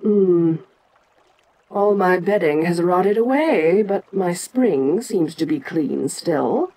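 A woman playing an ancient, imperious giant monster queen in an audio roleplay, speaking in a regal, deliberate and musing manner with frequent pauses. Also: on the low side, animated, dark tone, exaggerated voice, low-quality recording. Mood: matter-of-fact, commanding. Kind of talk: slow in-character audio roleplay monologue.

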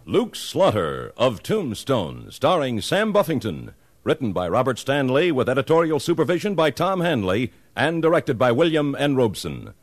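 A man's voice: medium pitch, big pitch swings, moderate pace, dry recording. A man reading credits as a formal, polished 1950s radio announcer. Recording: old radio recording, faint music.

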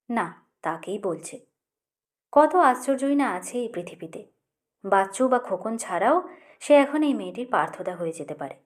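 A woman reads literary fiction as an audiobook narrator. Her voice is on the high side, and she delivers the prose expressively. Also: a little mellow.

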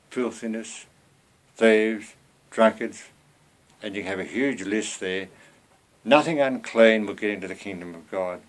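A man in his seventies giving an informal, earnest, conversational sermon to camera as a lay preacher, often turning to rhetorical questions, recorded at home on a computer mic. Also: fairly low pitch. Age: 70s. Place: small cluttered room at home.

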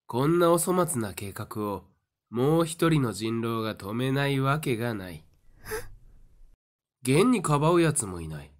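A man playing a sly, smug fortune-teller in a voice drama, theatrical and condescending with a taunting calm. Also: mid-range voice, measured pace. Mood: smugly calm. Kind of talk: Japanese voice drama acting.

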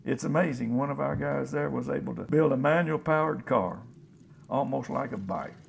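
An actor playing a folksy, plain-spoken, hopeful scavenger in a radio drama about survivors of a disaster. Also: male voice, medium pitch, normal speed, creaky, a little hiss or hum. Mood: reflective, matter-of-fact. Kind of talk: radio drama, interview monologue.

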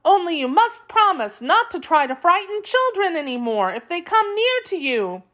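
Storybook young girl, played by a woman: very high, kind, curious, sincere. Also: very animated, normal speed, a bit bright, exaggerated voice. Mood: sympathetic. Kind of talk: children's storybook character voice.

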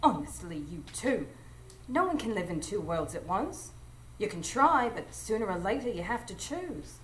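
A woman's voice: medium pitch, big pitch swings, moderate pace, fairly bright tone. A woman plays a motherly housekeeper in a radio play recorded live in a roomy space with faint noise. She scolds protectively, with folksy, earnest wisdom. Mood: chiding, concerned. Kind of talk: live radio drama acting.

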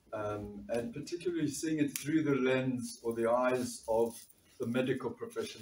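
A man's voice: mid-range voice, a little mellow, a little hiss or hum. A man in his sixties from South Africa with a South African accent, giving an earnest talk at a meeting and reading figures from notes with frequent restarts. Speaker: doctor and panel speaker. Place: bright meeting room, standing before a panel table. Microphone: far camera. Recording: echoey room from far off.

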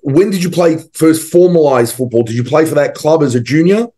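A man's voice: fairly high pitch, animated, a little fast, dark tone. A man with an Australian accent hosting an interview over a video call, with frequent ums and restarts. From western suburbs of Melbourne, Australia. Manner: hesitant, with many ums and restarts. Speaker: podcast host. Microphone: video call, webcam.

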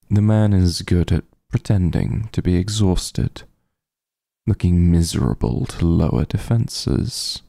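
A man voicing a possessive, teasing vampire mafia heir in an intimate ASMR roleplay, husky and gravelly, with a condescending drawl. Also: deep voice, warm tone, no echo, clean studio sound, full character voice. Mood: grave warning. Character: possessive, teasing male vampire mafia heir, coolly menacing yet doting.

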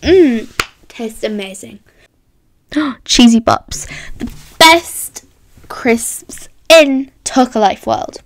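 A woman's voice: a little high, animated, extremely slow, long pauses. A woman hosting a voiced roleplay vlog, bubbly and chatty, reacting with gasps and hums. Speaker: roleplay channel host.

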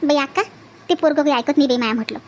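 Performer playing a bossy village auntie, extremely high voice, rapid scolding, Vidarbha Marathi accent, cartoon comedy. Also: a little hiss or hum, natural voice. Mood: exasperated complaining. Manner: scolding, complaining, colloquial.

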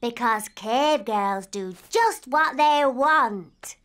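Bright, wilful little princess. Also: female voice, fairly high pitch, lively intonation, exaggerated voice. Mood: wilful, defiant. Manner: emphatic, drawn-out childish declarations. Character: bold, wilful, excitable little cartoon princess.

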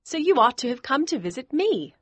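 A man's voice: brisk, no echo, exaggerated voice. A man putting on a teasing young woman. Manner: teasing, high and animated. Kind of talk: audiobook character voice.

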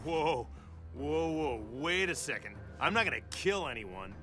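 An actor playing a cocky, flippant action star in a fighting game, wisecracking with an American accent. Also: male voice, on the high side, sing-song, measured pace, bright, forceful tone, soft background music, heavily acted. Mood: disbelief. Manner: brash, wisecracking.

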